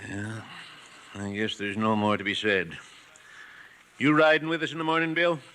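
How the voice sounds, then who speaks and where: An actor playing a worried rancher in a 1950s radio western, subdued and pleading. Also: male voice, fairly low pitch, moderate pace, bright, forceful tone. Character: worried male rancher facing foreclosure.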